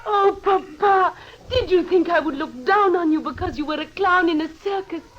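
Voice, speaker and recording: A woman's voice: very high voice, normal speed. A woman playing a naive, adoring young daughter in an old radio drama, earnest and emotional. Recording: dull, noisy old radio recording.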